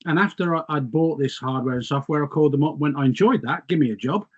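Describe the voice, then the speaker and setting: A man's voice: a little high, quick pace, slightly rough. Middle-aged english guy, voice a bit worn, rambly self-mocking storyteller, kinda dull muffled sound. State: slightly losing his voice. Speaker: guest. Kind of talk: casual reminiscing chat.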